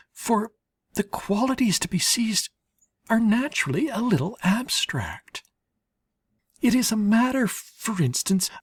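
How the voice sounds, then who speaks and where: A woman with a husky, breathy voice narrates literary letters as an audiobook reader, in a measured, expressive way with deliberate pauses. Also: medium pitch, no room sound.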